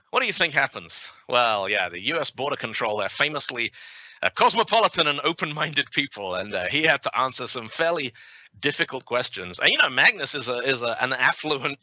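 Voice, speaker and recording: A British man giving a conference talk with a live demo, wry and self-deprecating, full of quick asides. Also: medium pitch, pushed and bright, no echo, low-quality recording. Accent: British English. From Britain. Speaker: conference speaker.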